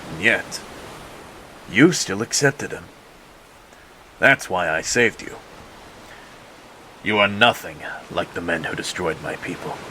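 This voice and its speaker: A man playing a proud, stern king of an undersea realm in a superhero audio drama, speaking formally and deliberately. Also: low-pitched, expressive, pushed and bright, slight background noise, exaggerated voice, rough recording. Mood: grave, conciliatory.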